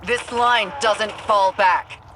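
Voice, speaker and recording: An actress playing a tough, driven female soldier in a video game, terse, intense and fiercely protective, over loud music. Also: mid-range voice, steady tone, takes it slowly, background noise, lightly acted. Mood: fierce, determined.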